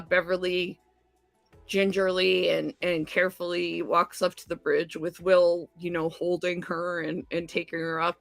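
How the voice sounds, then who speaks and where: A woman, possibly, hosts a casual chat, speaking low with a gravelly, bright edge. She rambles through recaps with many fillers and restarts. The sound is dry and close, with faint music underneath. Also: no echo. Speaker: podcast co-host.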